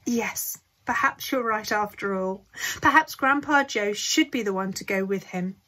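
A woman voicing a calm, sensible mother trying to keep her family steady, in a storybook reading. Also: mid-range voice, a little slow. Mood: settled. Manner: soothing, gentle.

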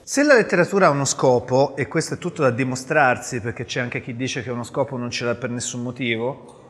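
Measured man in a reverberant room. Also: medium pitch, fairly bright tone. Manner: measured, argumentative. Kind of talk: talk to students.

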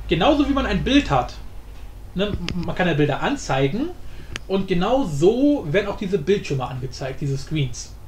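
A man with a high, animated voice presents an informal screencast lesson. He improvises and thinks aloud as he explains. The recording has noticeable room echo. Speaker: tutorial host. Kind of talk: casual screencast tutorial. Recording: roomy echo, faint background noise.

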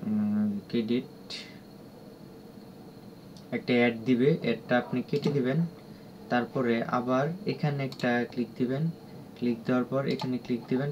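A man with a Bangladeshi accent giving an unscripted app tutorial in a halting, repetitive, instructional way. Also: medium pitch. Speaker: tutorial presenter. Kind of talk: unscripted screen-recorded app walkthrough. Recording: dull lo-fi audio with clear background noise.

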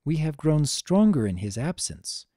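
A narrator voicing a wise, weary female pony princess, the elder sister, speaking in a measured, solemn and gentle way for a story reading. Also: medium pitch, big pitch swings, no room sound, clean studio sound, pitched above the performer's own voice. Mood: grave.

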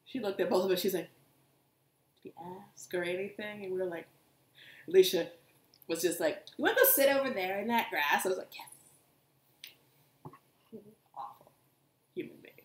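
A young woman, co-hosting a podcast and telling stories in an animated, casual way. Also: mid-range voice, moderate pace, pauses often. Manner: animated storytelling, many asides. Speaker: podcast co-host. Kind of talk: casual chat. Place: living room couch, indoors. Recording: echoey room.

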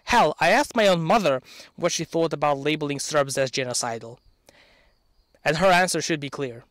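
A man's voice: a little high, fairly bright tone, no room sound. Earnest young man. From Bosnia and Herzegovina. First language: Serbian. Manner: earnest, measured, occasionally sardonic. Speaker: channel owner. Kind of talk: prepared opinion speech. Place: outdoors in an open field. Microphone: handheld microphone.

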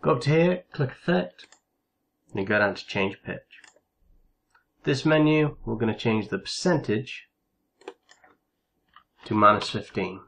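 A man giving a casual step-by-step software tutorial as its host, in an instructional voice-over. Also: lowish voice, lively intonation, measured pace, long pauses.

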